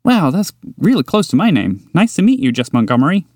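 A man voicing a small, excitable, chatty mouse in a bedtime story, eager and bubbly. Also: on the high side, no echo, clean studio sound, pitched above the performer's own voice. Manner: eager, bubbly, quick to laugh. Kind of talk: storybook character voice.

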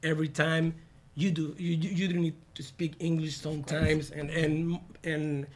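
A man's voice: fairly high pitch, flat delivery, normal speed. Earnest Venezuelan man, stumbling over words. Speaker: songwriter guest. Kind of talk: casual interview chat.

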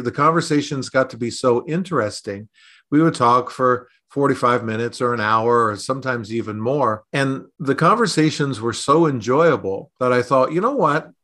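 A man's voice: medium pitch, a bit bright. A middle-aged man, a consultant and podcast host, speaking about his work on a show. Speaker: podcast host, consultant being interviewed as guest. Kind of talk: scripted podcast introduction and conversational interview answers.

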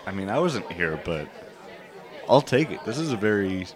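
Lowish-voiced man hosting amid crowd noise. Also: very animated, normal speed. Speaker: podcast host. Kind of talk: casual live podcast banter. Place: noisy room with an audience. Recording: off-mic, with music and crowd noise.

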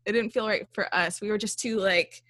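A woman's voice: medium pitch, steady tone, a little fast, fairly bright tone, no room sound. A young woman who leads a band, chatting casually in an interview with a small, light voice, lots of fillers and frequent laughs. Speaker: band leader and songwriter.

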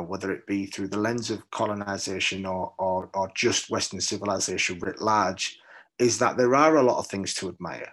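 A man's voice: fairly low pitch, fairly bright tone. A man from London with a British accent, speaking as a poet in a reflective interview, thoughtful and hesitant, often repeating words as he searches for the right one. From London, England.

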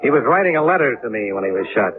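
Actor playing laconic American male insurance investigator, hard-boiled, clipped, medium pitch, tinny vintage radio drama recording. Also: sing-song, very fast, noisy background, lightly acted. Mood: cool, questioning. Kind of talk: 1950s radio detective drama acting.

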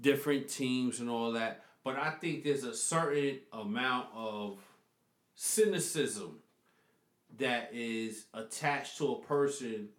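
A man's voice: mid-range voice, slow pace. Emphatic New man, echoey room. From New York. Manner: emphatic, argumentative, repeats points. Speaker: podcast co-host. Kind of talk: casual podcast chat.

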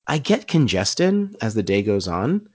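A man's voice: fairly low pitch, very animated, unhurried, darkish tone, breathy edge. Congested, scratchy-voiced male podcast host. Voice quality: a little scratchy. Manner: playful, self-deprecating banter. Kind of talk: casual chat. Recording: slightly dull lo-fi sound.

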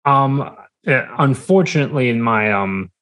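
A man in his twenties chatting casually as a podcast guest, speaking in halting, hesitant, broken phrases. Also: mid-range voice, animated, fairly bright tone. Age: 20s. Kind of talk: casual interview chat.

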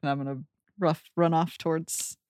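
Low-voiced woman playing a tabletop game. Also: steady tone, moderate pace, a little mellow, no echo, studio quality. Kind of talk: casual improvised role-play chat.

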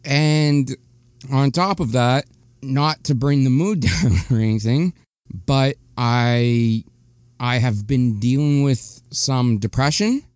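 A man's voice: medium pitch, slow pace, a little mellow, smooth, dry recording, clean studio sound. A young man hosting his own podcast, talking casually and candidly, rambling and restarting his sentences. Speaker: podcast host. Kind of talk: casual solo podcast monologue.

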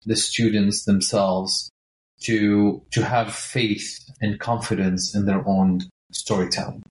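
A man's voice: fairly low pitch, little pitch movement, takes it slowly. A man in his forties, an author and writing teacher, explaining earnestly and agreeably in a casual interview. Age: 40s. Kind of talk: casual conversation. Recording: roomy echo.

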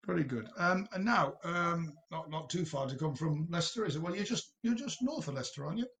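A male podcast host with a high voice full of creak. He chats casually and haltingly, teasing his guests a little as he moves between questions. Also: animated, fairly bright tone. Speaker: podcast host, university staff interviewing former students. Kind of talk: casual interview.

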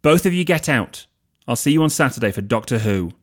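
A man's voice: mid-range voice, a little fast, natural voice. A man voicing a blunt young wizard who talks curtly.

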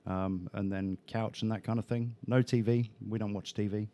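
A man's voice: on the low side, moderate pace. An English man with a British accent and some Australian turns of phrase, chatting casually as an interview guest and talking through plans with lots of fillers. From England. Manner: relaxed and chatty, many fillers, sometimes a rising inflection.

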